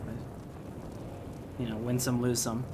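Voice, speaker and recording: A man with a medium-pitched voice hosts a game playthrough. He talks casually and conversationally as he comments. There is clear noise behind his voice. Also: monotone, unhurried. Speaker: gaming video host.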